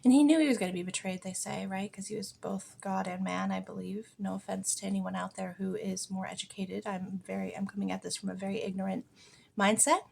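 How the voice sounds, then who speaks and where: Creaky-voiced American woman, earnest and conversational. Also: mid-range voice, fairly even intonation, fairly quick, darkish tone, slight background noise. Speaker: podcast and video host. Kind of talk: informal reflective spiritual monologue.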